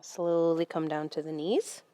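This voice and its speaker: A young woman guiding a yoga class in a gentle, unhurried, conversational way. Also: sits low, steady tone, dry recording. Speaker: yoga instructor. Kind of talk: guided yoga class instruction.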